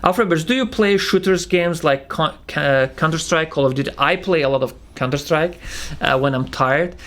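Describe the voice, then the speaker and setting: A young man with a heavy Russian accent talks through a casual viewer Q&A. He pauses often and fills gaps with "um" and "uh". He restarts his sentences as he goes. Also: a little high, fairly bright tone. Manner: halting, many fillers and restarts. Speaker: channel co-founder hosting Q&A. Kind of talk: casual Q&A chat.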